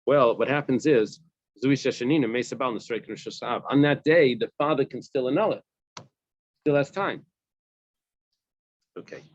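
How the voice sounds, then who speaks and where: A man's voice: medium pitch, no echo. A man casually leading a Talmud study session, reading phrases and explaining them. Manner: explains a text, reads Hebrew phrases and translates. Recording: dull dry lo-fi sound.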